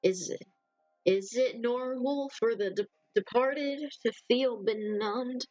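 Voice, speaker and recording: A woman voicing a gentle, confused ghost who speaks in a slow, halting, polite and timid way. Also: fairly high pitch, no echo, dull audio, soft background music. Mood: embarrassed.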